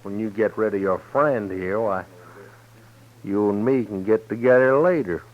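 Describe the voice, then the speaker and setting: An actor playing a taunting gunslinger who is itching for a fight in an old radio western, with a mocking, folksy manner. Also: male voice, lowish voice, normal speed, natural voice. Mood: smug, leering. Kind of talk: 1950s radio western acting. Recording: dull old radio drama recording.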